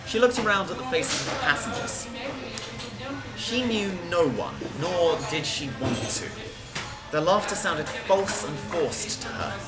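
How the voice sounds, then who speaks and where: A woman's voice: lowish voice, expressive, measured pace, poor audio. A woman reading a scripted story aloud as a narrator, with music behind her. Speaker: reader.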